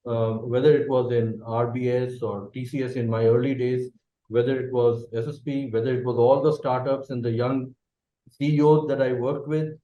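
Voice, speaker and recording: A middle-aged Indian man whose first language is Bengali, a company managing director answering interview questions thoughtfully and often checking in with a quick "okay". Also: mid-range voice, flat delivery, echoey room, highs cut off. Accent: Indian English.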